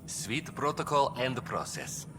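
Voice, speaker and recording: A man playing a dry, officious bureaucrat in a video game, speaking formally with a deadpan, wry delivery. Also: medium pitch, measured pace, music in the background, natural voice. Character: dry, officious male bureaucrat managing cryo deployments. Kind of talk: video game character dialogue. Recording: reverberant hall, clear background ambience.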